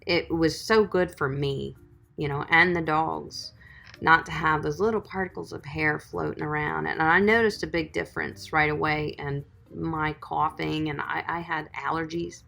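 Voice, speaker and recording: A woman's voice: low-pitched, steady tone, normal speed, vocal fry, sharp tone. A woman dog groomer chatting on a livestream, reading viewer comments and giving friendly, encouraging advice. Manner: chatty, encouraging, calls viewers sweetie and guys.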